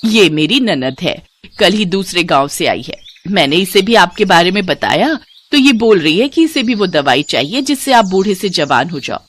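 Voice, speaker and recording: A woman's voice: lowish voice, big pitch swings, normal speed. A woman voicing a curious, wary well-off village housewife in a storybook tale, chatty and inquisitive. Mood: eager.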